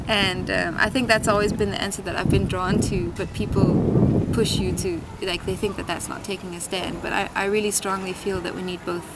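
Danish-born woman talking over loud street noise. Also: mid-range voice, little pitch movement, moderate pace, few pauses. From Denmark. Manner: thoughtful, many ums and restarts. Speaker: interviewee. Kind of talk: reflective interview answers. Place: outdoors at a street-side table by a tiled wall. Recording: loud city traffic noise behind.

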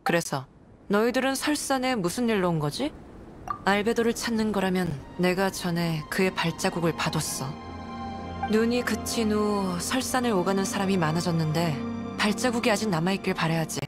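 A woman performs a cynical nun from a fantasy game. Her voice sits at a middle pitch, dry and detached, with blunt, sardonic phrasing. Music plays clearly behind her. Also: normal speed, lightly acted. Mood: detached, matter-of-fact. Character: aloof, cynical adult nun, coolly suspicious. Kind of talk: game voice acting.

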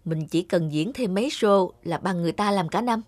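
An actor playing a cheerful, loyal young circus performer in a cartoon, breezy and reassuring. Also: male voice, high-pitched, brisk, no room sound.

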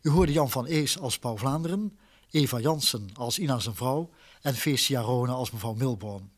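A man's voice: medium pitch, moderate pace. A man announcing on a vintage radio broadcast, formally reading out closing credits.

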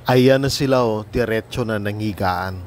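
A man's voice: on the low side, moderate pace, a little hiss or hum. A man with a Filipino accent narrating a travel vlog in a polite, casual way, mixing Tagalog and English. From Philippines. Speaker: travel vlogger.

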